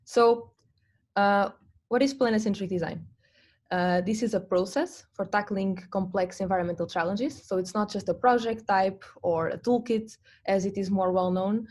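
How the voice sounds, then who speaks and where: A woman's voice: mid-range voice, normal speed, a little mellow. A young adult woman from Portugal presenting an online design workshop over a video call, with frequent ums and restarts. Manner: hesitant, many ums and restarts.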